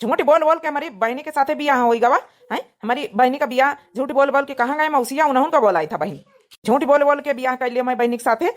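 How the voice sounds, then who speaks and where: A woman's voice: high-pitched, quick pace, close to an everyday voice. A woman voicing a hot-headed, protective young village brother in a cartoon comedy drama, insistent and argumentative with an Awadhi accent. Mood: angry, scornful.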